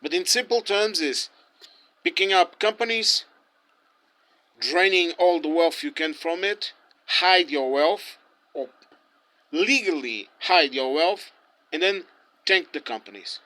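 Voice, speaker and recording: A man's voice: high-pitched, expressive, slow pace, pauses often, sharp tone. A man whose first languages are Portuguese and German, speaking English in a heated, ranting way with many restarts. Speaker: interviewee. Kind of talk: impassioned street opinion.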